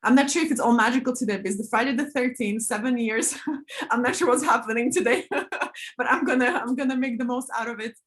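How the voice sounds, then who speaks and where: A woman's voice: fairly high pitch, brisk, fairly bright tone. Chatty woman moderating an online session. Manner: chatty, laughing, many fillers. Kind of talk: introducing a speaker.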